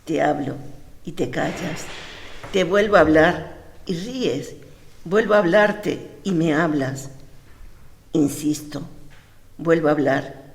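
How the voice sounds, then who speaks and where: A woman with an Andalusian Spanish accent reciting poems in a measured, deliberate way. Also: low-pitched, gravelly. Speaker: poetry reciter. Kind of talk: poetry recitation. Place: lecture hall. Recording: echoey hall.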